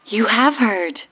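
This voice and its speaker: An actress playing an eerie, self-aware believer in ghosts calling from a stranded boat, conversational and cryptic, through a radio filter. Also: female voice, a little high, slightly rough, narrow, tinny audio, heavily acted. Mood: ominous. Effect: radio transmission filter. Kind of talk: naturalistic audio horror drama acting. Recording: thin, band-limited marine radio.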